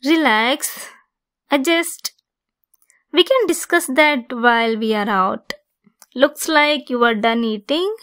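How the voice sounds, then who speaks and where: A woman performing a scripted ASMR roleplay as a possessive female centaur, speaking in an intimate, gently teasing manner. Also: high voice, slow, pauses often, clear, resonant tone.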